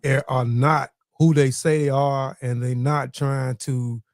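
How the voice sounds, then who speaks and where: A man hosting an interview podcast who asks questions in a measured way, with some fillers. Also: medium pitch, flat delivery, gravelly, darkish tone, dry recording, studio quality. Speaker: podcast host. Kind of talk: interview conversation.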